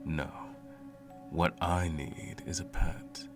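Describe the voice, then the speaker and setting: Male actor as evil sorcerer, bottomless bass, heavy gravelly fry, theatrical menace, haughty cruelty, ASMR fantasy roleplay. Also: fairly even intonation, heavily acted. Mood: musing, cruel. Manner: slow, menacing, condescending, theatrical drawl. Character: cruel, smug, all-powerful evil male sorcerer, lonely. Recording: music playing under the voice. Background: music.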